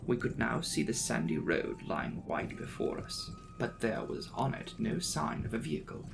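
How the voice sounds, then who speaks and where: A man's voice: a little high, wildly swooping pitch, normal speed, creaky, over music. A man narrating a classic novel as a dramatic, scripted audiobook reading.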